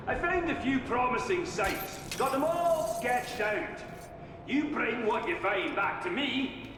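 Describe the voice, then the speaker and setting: A man's voice: very high-pitched, background noise. A man voicing a wisecracking male dwarf tinkerer in a video game cutscene, talking in a teasing, offhand way. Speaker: inventor who helps the hero. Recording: cutscene with music behind.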